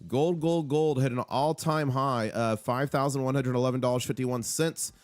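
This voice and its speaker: A man with a hoarse voice hosting a live market stream, urgent and hyped, repeating key figures for emphasis. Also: medium pitch, steady tone, normal speed, no echo. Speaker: livestream host on silver markets. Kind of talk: excited live market commentary.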